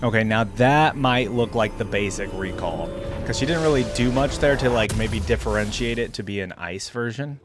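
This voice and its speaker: A man's voice: fairly low pitch, moderate pace, over music. A man giving casual reaction commentary on video game character skins, thinking aloud as he goes. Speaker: reaction channel host.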